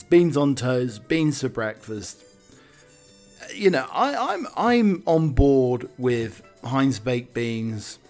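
A man's voice: medium pitch, a little mellow. A man hosting a comic talk on the week's news, wry and digressive, with hesitant asides. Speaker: podcast host.

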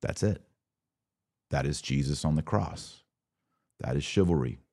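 A man with a low-pitched voice speaks as a podcast host and commentator. He is didactic and emphatic, talking conversationally to his audience and pausing deliberately to land his points. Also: warm tone, slightly rough.

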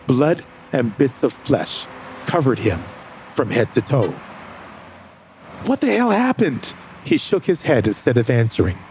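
A man narrating a first-person audio drama with expressive delivery. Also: measured pace, pauses often, a little hiss or hum. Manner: expressive, rising pitch in dialogue.

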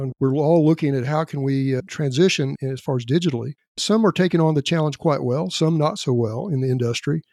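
A man's voice: on the high side, dark tone, no room sound, studio quality. A man in his sixties hosting a podcast, talking in a friendly, rambling way. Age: 60s. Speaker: podcast host. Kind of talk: casual interview talk.

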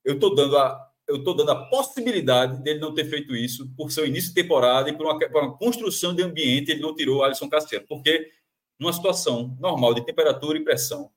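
A Brazilian man breaks down a football match in a fast, animated panel chat. His voice sits a bit high for a man, and he restarts sentences and repeats phrases as he argues his point. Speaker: football commentator. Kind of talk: sports analysis panel chat.

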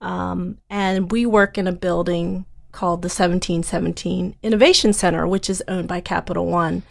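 A woman's voice: lowish voice, steady tone, moderate pace, vocal fry. A woman startup founder answering interview questions in an earnest, step-by-step way.

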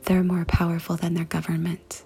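A woman leading a guided meditation and prayer in a soft, hushed, breathy and creaky voice, speaking deliberately with pauses. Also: fairly low pitch, completely flat delivery. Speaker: podcast host and meditation guide. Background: music.

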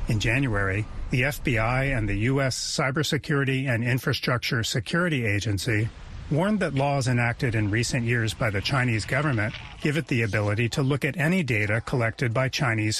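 A male reporter narrates a news story in a measured way, at mid pitch. A faint noise sits in the background. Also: lively intonation, a bit bright.

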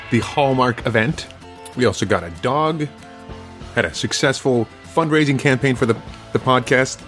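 Guy hosting a podcast, chatty and rambly, middle pitch, some music under him. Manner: rambling, friendly, full of restarts. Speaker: podcast host. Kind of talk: casual podcast interview.

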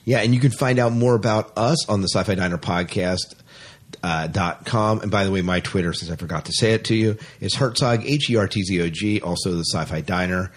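Male podcast host, deep and slightly rough voice, chatty and keen, casual geek-show banter. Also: brisk. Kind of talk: casual sci-fi podcast chat.

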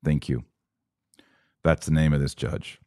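A man's voice: a bass voice, steady tone, a little slow, darkish tone, no echo, studio quality. An American man hosting a podcast, speaking casually and off the cuff as he reads out and answers viewer comments. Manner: conversational, reads aloud then responds off the cuff. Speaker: podcast host.

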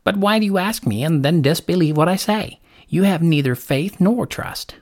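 A woman's voice: lowish voice, animated, moderate pace, darkish tone, pitched above the performer's own voice. A woman voicing a saucy, confident male lark in a storybook, proclaiming emphatically in a singsong way. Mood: scolding. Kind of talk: storybook character voice.

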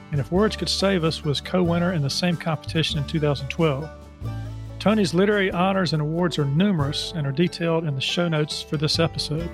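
A man hosting a podcast, who talks loosely in a chatty way with many fillers. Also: a little high. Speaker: podcast host. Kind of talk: podcast interview.

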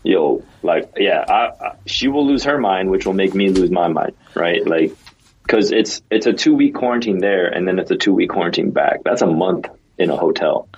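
A man joining a podcast remotely from abroad, chatting in a relaxed, friendly way with frequent restarts. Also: sits low, normal speed, breathy edge. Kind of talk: casual chat. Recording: muffled lo-fi remote call.

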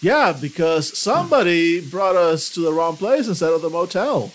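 A man's voice: high-pitched, sing-song, moderate pace, slight background noise. A young man joking around in character as a tabletop game player, laid-back, swearing casually and laughing often. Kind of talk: improvised in-character banter.

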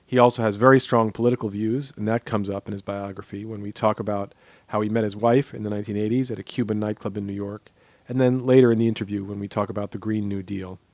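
A man's voice: fairly low pitch, steady tone, fast, no room sound. A middle-aged man hosting an interview podcast, moving between prepared introduction and conversational questions. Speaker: podcast host interviewing a guest.

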